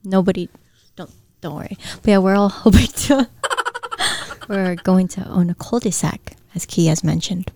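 A woman's voice: mid-range voice, darkish tone, dry recording. Chatty young woman co-host. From Guam. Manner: chatty, agreeable, quick back-and-forth. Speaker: podcast co-host. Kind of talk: casual chat.

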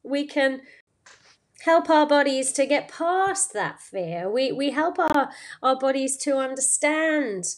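A woman's voice: high-pitched, expressive, slow pace. A woman hosting a podcast, talking through a motivational story in an unscripted, rambling way full of fillers and restarts. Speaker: podcast host.

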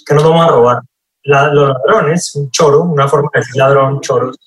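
A man's voice: mid-range voice, fairly even intonation, fairly quick. A man with a Colombian accent chatting casually in an interview, explaining with examples. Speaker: actor, interview guest. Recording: roomy, echoey sound.

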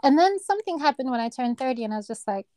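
A woman in her thirties from Kenya with a soft voice, chatting casually as an interview guest, laughing often and searching for words. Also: high-pitched, animated, racing, dry recording. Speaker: guest, neurosurgery registrar.

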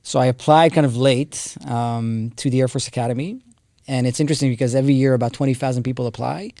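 A man's voice: medium pitch, steady tone, fast, dark tone, dry recording. A man, telling his story as a guest with many fillers and restarts. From Israel. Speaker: guest, company co-founder. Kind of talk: casual interview talk.

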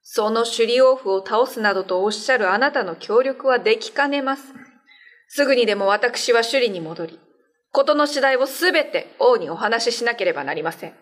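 A woman's voice: on the high side, animated, moderate pace, a bit bright. A woman playing a dignified, loyal spirit medium in a radio drama, speaking formally and firmly. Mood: grave, resolute. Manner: formal, deferential, firm.

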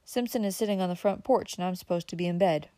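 A man's voice: sits high, fast, dark tone, dry recording, natural voice. A woman voicing a scheming, ruthless city-bred male villain in an audiobook, speaking curtly and brusquely. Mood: sly. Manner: curt, brusque, swearing.

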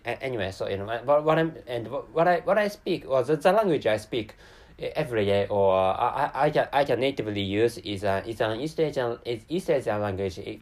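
Man, Japanese accent, native Japanese speaker, podcast host, stammered repetitions, rambling monologue, very lively intonation. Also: mid-range voice. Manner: very halting, stammering repetition of words and syllables; rambling and digressive.

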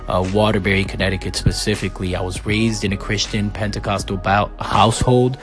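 A man in his thirties hosts a solo podcast in a low, steady voice that stays mostly flat. He speaks earnestly and at a measured pace, often pausing on "uh" and "um". Faint music plays underneath. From Puerto Rico. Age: 30s. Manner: earnest, measured life-story telling with frequent fillers. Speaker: podcast host.

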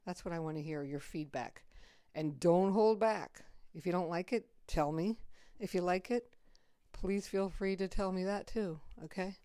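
A middle-aged woman with a raspy voice hosting a podcast, chatting casually and bluntly, swearing freely. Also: mid-range voice, mellow, dry recording, clean studio sound. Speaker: podcast host. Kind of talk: casual chat.

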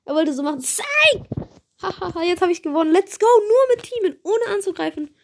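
A woman with a slight cold, giving excitable, chatty commentary on her own gameplay, swearing and apologizing as she plays. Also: very high-pitched, a little fast. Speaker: gaming video creator.

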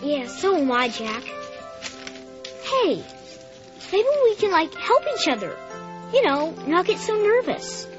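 A woman's voice: very high voice, big pitch swings, takes it slowly, pauses often, music in the background, exaggerated voice. A woman voicing a shy, earnest schoolboy who stammers and hesitates, in a radio drama. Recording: slightly dull radio drama recording.

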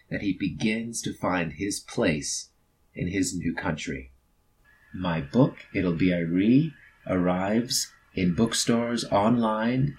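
Male author, deep and unhurried, slightly rough tone, informal explanatory delivery. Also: rough recording. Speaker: featured storyteller, author. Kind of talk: casual, explanatory book promotion talk.

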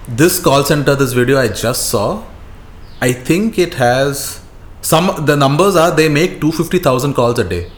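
A man's voice: a little high, lively intonation, moderate pace. An Indian-accented man chatting casually as a podcast co-host, telling stories in slangy, profane language and repeating himself for emphasis. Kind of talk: casual podcast chat, animated retelling. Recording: roomy echo, faint background noise.

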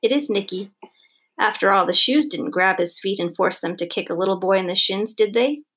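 A woman voicing a firm, reasonable husband and stern father in a storybook, measured and lecturing. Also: mid-range voice, a bit bright, husky. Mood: reasoning.

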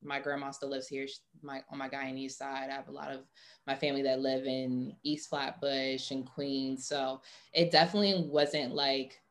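A young adult woman with a notably low voice. She talks in an informal, conversational way, thinking through her answers with plenty of "like" as she goes. She comes across as a guest in a relaxed interview. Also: moderate pace. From Atlanta, US. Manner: informal, heavy use of "like". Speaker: interview guest, sports marketing professional.

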